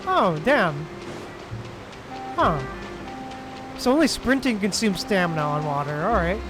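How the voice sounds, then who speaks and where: A man chatting casually as he livestreams a game, with hesitant, drawn-out phrasing. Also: high voice. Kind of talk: casual livestream chat while playing. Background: game music.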